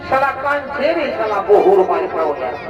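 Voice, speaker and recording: Male stage comedian, extremely high voice, theatrical and exclamatory; distant, muffled live recording. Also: sing-song, fairly quick. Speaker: comic stage performer in a folk dance show. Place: live village stage show. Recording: echoey distant PA, dull, music and crowd.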